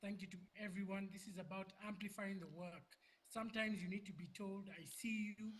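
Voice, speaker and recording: A man delivers an award acceptance speech in rousing, motivational phrases. His voice is high for a man. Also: fairly even intonation, brisk, fairly bright tone, slightly rough. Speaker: award winner.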